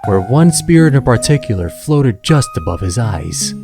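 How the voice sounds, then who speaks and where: A man's voice: mid-range voice, big pitch swings, normal speed, over music. A man giving scripted, dramatic narration of an adventure story as the narrator.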